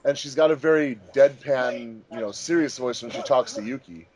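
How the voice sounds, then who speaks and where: A man chatting casually as a director on a commentary track, explaining things with frequent pauses. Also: medium pitch, darkish tone. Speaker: fandub director and voice actor on commentary.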